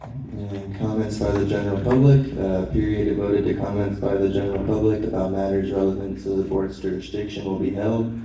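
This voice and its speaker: A man's voice: fairly low pitch, level tone, brisk, dark tone. A man chairing a town board meeting, reading procedural items plainly with frequent fillers. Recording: echoey hall, far mic, faint noise.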